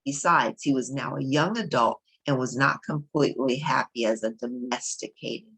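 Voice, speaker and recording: A woman's voice: a bass voice, moderate pace, fairly bright tone, breathy edge, poor audio. A woman reading a children's novel aloud as a storybook narrator. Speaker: storybook reader.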